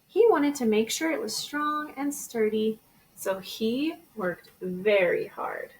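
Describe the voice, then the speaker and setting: A woman teacher reading a children's storybook aloud in an expressive way. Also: on the high side, takes it slowly, rough recording. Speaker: elementary school teacher.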